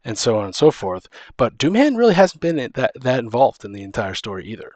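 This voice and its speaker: A man talks casually as a podcast host and dungeon master, with a mid-pitched voice. He rambles, circling back and restarting phrases. The sound is dry, with very little room on it. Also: big pitch swings, a little fast, darkish tone, no echo. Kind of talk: casual tabletop gaming advice chat.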